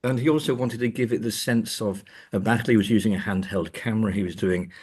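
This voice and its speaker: A man's voice: fairly low pitch, quick pace, a little mellow, breathy edge. A man speaking as an academic guest in a discursive interview, hesitant and often repeating words as he thinks.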